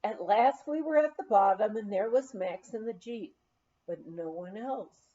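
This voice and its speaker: A woman reads a memoir aloud as an audiobook narrator, in a measured, expressive storytelling style. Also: medium pitch, lo-fi sound. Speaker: audiobook reader.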